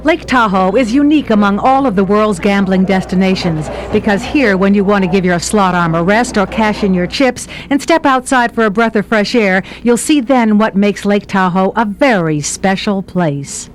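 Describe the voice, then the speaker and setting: A woman's voice: mid-range voice, moderate pace, hardly any pauses, slight background noise, rough recording. A woman reading a scripted travel narration as the reporter of the segment. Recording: music behind the narration. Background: background music.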